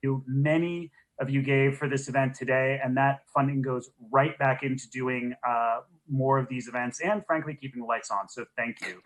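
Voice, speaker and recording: A man's voice: medium pitch, fairly even intonation, moderate pace, hardly any pauses, rough recording. A man speaking as a program director, giving an informal welcome and housekeeping notes as co-host of an online event on a video call.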